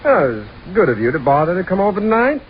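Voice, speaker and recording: Casting: easygoing young American college man, lightish pitch, bouncy and slangy, muffled old-time radio drama. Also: big pitch swings, quick pace. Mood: welcoming. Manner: chummy, slangy. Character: easygoing, joshing young male college fraternity brother. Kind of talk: 1930s radio drama acting. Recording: muffled 1930s radio recording.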